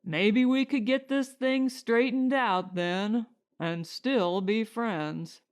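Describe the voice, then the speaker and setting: Woman reading as a slow-talking, courteous male settler. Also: on the high side. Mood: earnest, reasoning. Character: reasonable, courteous rival male settler, a father.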